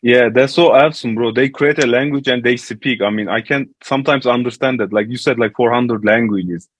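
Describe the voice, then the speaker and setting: A man co-hosting a podcast, asking questions in a casual, halting, slangy way. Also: medium pitch. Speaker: podcast co-host. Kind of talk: casual chat.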